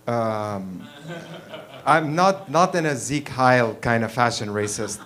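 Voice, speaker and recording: A man in his thirties from Lebanon telling a personal story at an open mic, blunt and profane, laughing and getting louder as he gets worked up. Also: medium pitch, lively intonation, slow. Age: 30s. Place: small performance venue, in front of an audience.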